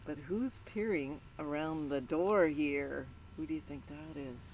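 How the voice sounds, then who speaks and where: A woman reading a picture book aloud to children in an animated storytelling style, pausing to put questions to the listeners. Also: low voice, slight background noise. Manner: interactive, prompts listeners with questions. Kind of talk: animated read-aloud with asides to young listeners.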